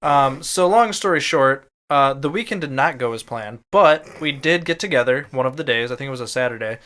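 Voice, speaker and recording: Young man, mid-pitched voice, podcast co-host, casual storytelling, profane, joking banter. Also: animated, brisk, fairly bright tone. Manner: crude, joking.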